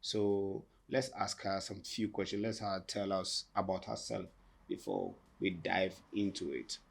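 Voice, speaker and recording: Chatty lower-voiced male interviewer. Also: measured pace, gravelly. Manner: enthusiastic, chatty, repeats questions. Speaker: interviewer, host. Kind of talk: casual interview. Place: boutique, indoors.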